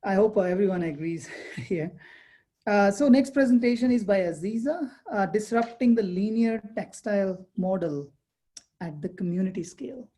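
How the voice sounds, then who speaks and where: Casting: mellow-toned woman chairing an online session, hurried introductions. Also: medium pitch. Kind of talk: introducing speakers.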